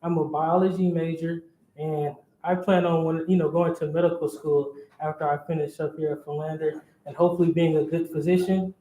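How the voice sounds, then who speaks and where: A young man with a mellow, somewhat high voice introduces himself informally to a group as a student leader. The room is echoey. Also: flat delivery, brisk. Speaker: student society president. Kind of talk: casual self-introduction to a group. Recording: echoey room with faint noise.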